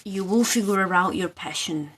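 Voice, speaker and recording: A woman hosting a live tarot session, talking informally and haltingly to viewers, often asking questions and repeating her phrases. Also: medium pitch, a bit bright, slight background noise, rough recording.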